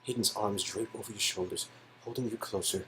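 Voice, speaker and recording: A man reading a game's narration aloud in a casual way, animated, and often breaking off into joking asides. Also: lowish voice, fairly quick, a little hiss or hum. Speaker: reader of game dialogue.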